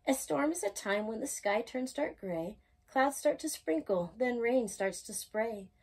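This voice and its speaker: A woman reading a rhyming children's picture book aloud as a storytime host, with expressive, singsong emphasis. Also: fairly high pitch, rough recording.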